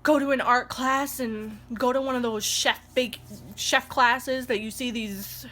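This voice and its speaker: A woman's voice: a little high, fairly even intonation, a little slow, fairly bright tone, slight background noise. A young woman, a stay-at-home mom, talking casually to her camera at home with a stuffed-up nose from allergies, rambling and heartfelt. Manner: rambling and heartfelt, growing indignant. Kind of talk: casual vlog talk to camera. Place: indoors at home.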